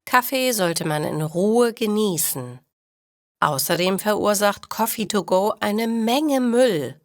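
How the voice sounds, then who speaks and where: Woman reading german learner stories, really slow n careful, dry studio sound. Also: medium pitch, animated, no room sound. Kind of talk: scripted story narration for language learners.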